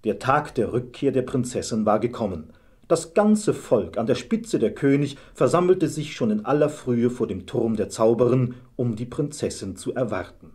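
A man narrating a scripted fairy tale as a measured storyteller. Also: medium pitch, animated, darkish tone, husky.

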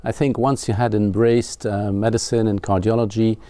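A man's voice: fairly low pitch, flat delivery, moderate pace, warm tone, no room sound. A man in his sixties from Belgium hosting a studio interview, asking courteous questions. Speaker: interview host. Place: studio set with a blue backdrop. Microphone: lapel mic.

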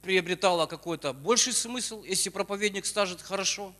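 A middle-aged man preaches in short exhorting phrases, pausing for an interpreter. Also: high-pitched, bright, forceful tone. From Ukraine. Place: church auditorium stage. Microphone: handheld microphone. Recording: long hall reverb from church PA.

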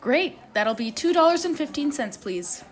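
Woman acting a high, chirpy barista. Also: big pitch swings, moderate pace, soft background music. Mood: chirpy, friendly. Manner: chirpy, sweet customer service. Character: chirpy, friendly young woman coffee-shop barista. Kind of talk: comic radio play.

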